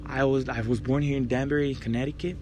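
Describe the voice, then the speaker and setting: A man's voice: medium pitch, steady tone, quick pace, background noise. A young man, hosting his own faith podcast and sharing a personal testimony in an earnest, grateful, reflective way. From Brazil. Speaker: podcast host and preacher.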